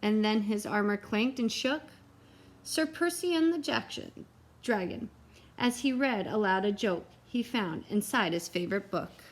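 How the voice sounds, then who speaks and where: A woman reading a picture book aloud to young children in an expressive way, with simple asides to her listeners. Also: medium pitch, measured pace. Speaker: read-aloud teacher and storyteller.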